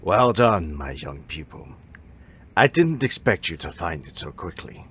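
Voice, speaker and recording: A man voicing an aging martial arts master in an amateur audio drama, calm, formal and solemn, instructing in a measured way. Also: deep voice, slightly rough, exaggerated voice. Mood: solemn, instructive.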